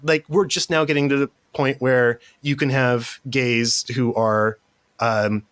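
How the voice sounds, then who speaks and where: A man's voice: medium pitch, fairly even intonation, unhurried, a bit bright, clear, resonant tone. A man from Central California, a guest on a podcast, explaining his points in a frank, casual way. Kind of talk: casual chat.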